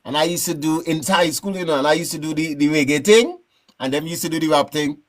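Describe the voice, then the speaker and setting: Trini guy chatting on a radio show, a bit high pitched, laid back and rambly. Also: fast. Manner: relaxed, rambling, frequent "you know what I'm saying". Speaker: guest musician. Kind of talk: casual interview storytelling.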